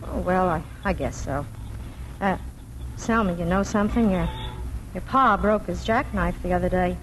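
A woman's voice: on the low side, moderate pace, natural voice. An actress playing a practical, kindly farm wife and mother in a 1940s radio drama. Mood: brisk, fond. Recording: noisy old 1940s radio recording.

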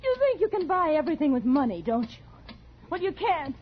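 Casting: jilted lover in vintage radio thriller, very high voice, wounded and bitter, noisy old recording. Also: female voice, big pitch swings, brisk, muffled recording. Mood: bitter, angry. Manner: emotional, melodramatic. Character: jilted woman, wounded, pleading, turning bitter and furious. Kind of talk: 1940s radio drama acting.

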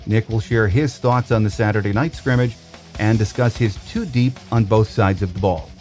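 A man's voice: lowish voice, moderate pace, darkish tone, soft background music. A man hosting a sports podcast, presenting scripted news and introducing interviews. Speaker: podcast host.

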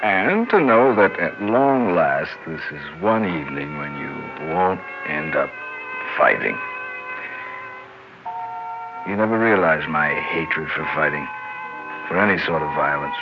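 Muffled vintage radio drama with music behind. a suave husband with murder on his mind, speaking slowly and theatrically. His tone is sardonic and mock-tender, swinging widely in pitch. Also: lively intonation. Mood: smug, mock-tender. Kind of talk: 1960s radio drama acting.

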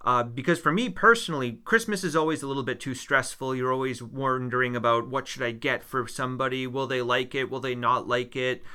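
A man's voice: mid-range voice, steady tone, fairly quick, few pauses, sharp tone, smooth. A man from the United States, co-hosting a casual podcast and chatting in a rambling way with fillers and restarts. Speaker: podcast co-host, history teacher.

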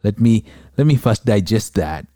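A man's voice: fairly low pitch, lively intonation, moderate pace, warm tone, no room sound, studio quality. A man hosting an interview podcast, thoughtfully asking questions and recapping his guest's points. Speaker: podcast host.

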